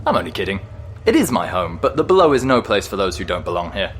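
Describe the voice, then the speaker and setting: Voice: sits low, very animated, fast talker, a little hiss or hum. An actor playing a chatty, cheeky, guileless ghost in an audio drama. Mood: playful.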